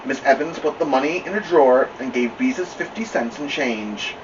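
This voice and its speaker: A man's voice: medium pitch, normal speed, distant mic. A man narrating a children's book as a storytime reader. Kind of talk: children's audiobook-style narration. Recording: muffled, off-mic, steady room noise.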